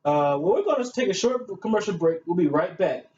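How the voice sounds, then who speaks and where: A man's voice: high-pitched, lively intonation, a little fast, warm tone. A man playing a gushing, chatty talk show host in a staged amateur show. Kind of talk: hosting a staged student-made talk show. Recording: echoey, muffled room recording with hiss.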